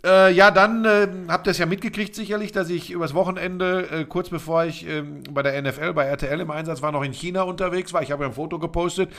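A man's voice: a little high, steady tone, fairly bright tone. Opinionated man in his fifties. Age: 50s. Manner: opinionated, teasing, quick asides. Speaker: podcast co-host. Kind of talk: casual sports chat.

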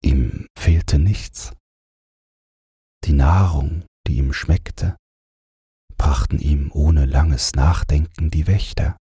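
A man narrating a literary audiobook for bedtime, in a calm, measured storytelling manner. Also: extremely low pitch, slightly rough, no room sound, clean studio sound.

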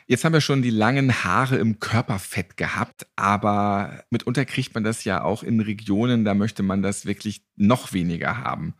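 A man's voice: lowish voice, normal speed, hardly any pauses, no echo, studio quality. A man moderating a conversational interview as its host.

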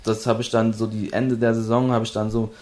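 A man's voice: fairly low pitch, little pitch movement, fairly quick, darkish tone. A young man from Berlin, an esports player, tells his story in a casual interview, rambling with many restarts and acting out what others said.

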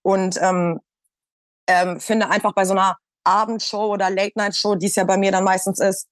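A woman's voice: mid-range voice, little pitch movement, normal speed, no echo. A woman from Hamburg, a radio presenter, talking in a casual roundtable and stressing her points by repeating them. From Hamburg, Germany.

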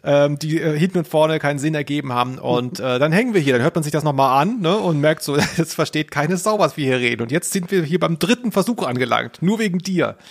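A man's voice: a little high, fast, hardly any pauses. A man co-hosting a podcast, chatting casually in a wry, joking way. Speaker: podcast co-host. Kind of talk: casual chat about audio dramas.

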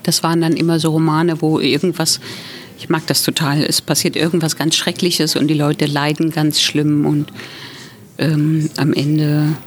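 A woman author from Thuringia, a guest on a podcast, talking frankly and lively in casual chat and agreeing often. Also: low-pitched, normal speed, faint music behind. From Thuringia, Germany.

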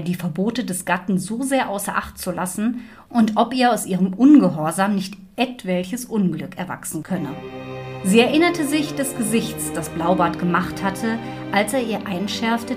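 A woman reading a fairy tale as narrator, with expressive storyteller phrasing. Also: mid-range voice. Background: music.

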